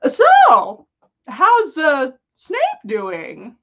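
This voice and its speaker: A woman voicing a sheepish, seductive male dark wizard villain in a solemn, flirtatious manner for a fan fiction reading. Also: high voice, wildly swooping pitch, very slow, dull audio, heavily acted. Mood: solemn, flustered.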